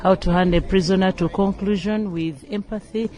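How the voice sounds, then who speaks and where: A woman's voice: mid-range voice, steady tone, a little fast, mellow. Ugandan woman trainer answering formally. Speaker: management trainer and lecturer. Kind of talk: formal radio interview answers.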